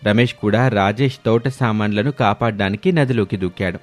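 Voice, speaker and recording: A man narrates a moral folk story from a script. His voice sits fairly low, and music plays behind him throughout. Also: normal speed.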